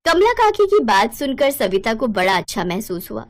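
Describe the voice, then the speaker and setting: High-voiced woman narrating a story. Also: sing-song, normal speed.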